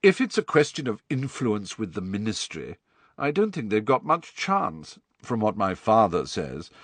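A man voicing a smug, malicious teenage schoolboy bully in an audiobook, boasting loudly and sneering. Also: medium pitch, dry recording. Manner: boastful, deliberately loud, sneering.

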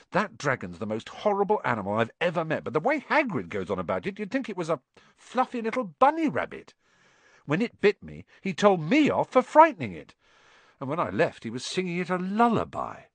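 A man's voice: mid-range voice, wildly swooping pitch, fast talker, hardly any pauses, fairly bright tone, dry recording. A man voicing a blunt, indignant schoolboy who grumbles, in a storybook reading.

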